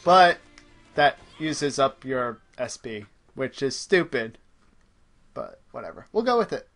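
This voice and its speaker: A man's voice: medium pitch, sing-song, slow, fairly bright tone, faint music behind. A man giving casual gameplay commentary as he plays, explaining details a little pedantically. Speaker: host playing the game.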